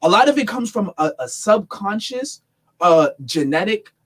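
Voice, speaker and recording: Animated, emphatic young man, fairly high voice. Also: normal speed, husky. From United States. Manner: animated, emphatic, repeats words while building points. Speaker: podcast host. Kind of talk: casual podcast discussion.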